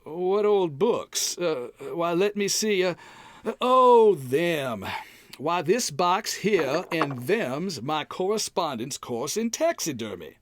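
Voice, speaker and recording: A man's voice: on the high side, very animated, takes it slowly, slight background noise. An American man playing a bumbling, cheerful packrat husband in old-time radio comedy style, folksy and hemming as he makes excuses. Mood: defensive, bumbling.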